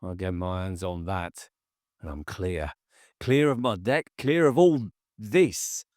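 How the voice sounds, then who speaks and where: A controlling, short-tempered man played by an audiobook reader speaks in a low voice, coaxing one moment and hard and dismissive the next. His menace sits under every line. The recording is dry and close. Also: slow pace, darkish tone, no echo. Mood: scheming. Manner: cajoling then hard and dismissive. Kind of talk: audiobook character voice.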